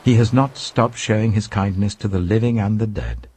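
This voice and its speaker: A man's voice: fairly low pitch, moderate pace, darkish tone, a little hiss or hum, voice pushed up, close to an everyday voice. A man voicing a caring older woman, a devout and motherly mother-in-law in a scripture story. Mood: pleased, blessing.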